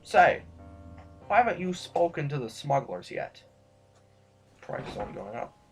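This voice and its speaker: An actor in an audio drama playing a rambling, disillusioned duke and mentor, halting and musing with theatrical outbursts. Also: male voice, fairly high pitch, very animated, gravelly, heavily acted. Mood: probing. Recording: music behind.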